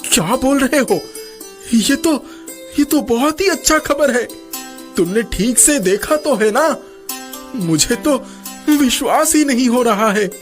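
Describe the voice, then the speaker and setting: A man's voice: very high-pitched, animated, slow pace, pauses often, faint music behind, full character voice. A man voicing a proud, wily king in a cartoon story, with a stately, commanding manner. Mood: overjoyed. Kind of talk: Hindi cartoon story character dubbing.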